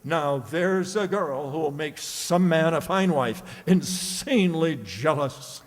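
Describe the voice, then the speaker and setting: Blustering, vain husband played by a man, highish voice. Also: takes it slowly. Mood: indignant, exasperated. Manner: pompous, exasperated. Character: blustering, vain middle-aged husband fishing for jealousy. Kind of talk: radio comedy acting. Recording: echoey hall, long reverb.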